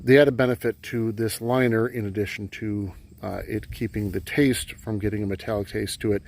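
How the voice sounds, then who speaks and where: A man with a gravelly voice giving a halting, understated casual vlog narration outdoors. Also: fairly low pitch, a little mellow. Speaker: metal detecting vlogger. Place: outdoors on rural farm property. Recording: noticeable outdoor background noise.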